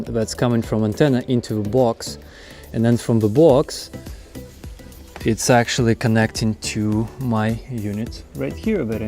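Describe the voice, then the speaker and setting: A man's voice: medium pitch, dark tone. A man hosting an informal hands-on how-to video, explaining things in a loose, rambling, unscripted way. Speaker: host of a truck build how-to video. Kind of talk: casual unscripted hands-on walkthrough.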